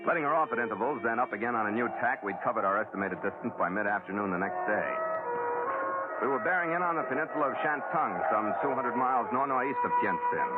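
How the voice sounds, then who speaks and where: An actor playing a tough, laconic sea captain narrating in a clipped, hard-boiled 1940s radio adventure style. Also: male voice, medium pitch, wildly swooping pitch, fast. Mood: grim narration. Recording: tinny old 1940s radio recording, music behind.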